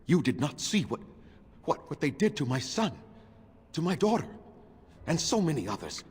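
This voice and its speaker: A bitter old elf elder with a sweeping voice. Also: male voice, fairly high pitch, big pitch swings, normal speed, slightly rough, heavily acted. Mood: grieving. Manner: stern, grieving, declamatory. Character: ancient bitter elf keeper, proud and vengeful. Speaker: game character. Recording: reverberant game audio with faint noise.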